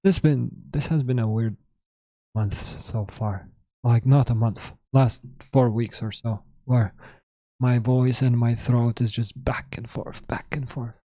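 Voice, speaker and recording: A man with a sore, hoarse throat hosting a live trading stream, thinking aloud in halting, self-correcting commentary. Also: medium pitch, fairly even intonation, warm tone, no room sound. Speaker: trading livestream host.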